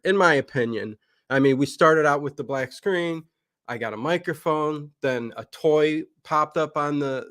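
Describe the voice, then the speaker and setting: A man who hosts livestreams, telling stories casually in an animated, chatty way and acting out remembered remarks. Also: medium pitch, no echo, studio quality. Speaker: livestream channel host.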